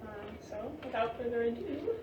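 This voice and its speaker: A woman's voice: fairly high pitch, moderate pace, lo-fi sound. A woman giving a casual live introduction as an event presenter. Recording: echoey, far mic, loud noise.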